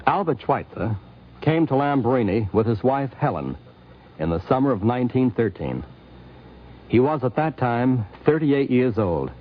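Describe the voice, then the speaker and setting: A man's voice: medium pitch, big pitch swings, moderate pace. A man hosting and narrating a scripted radio documentary in a muffled 1950s broadcast recording. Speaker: host and narrator.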